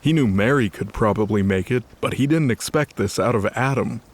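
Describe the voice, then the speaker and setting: A man's voice: lowish voice, a little fast, a little hiss or hum. A man narrating a scripted story in a conversational, wry way.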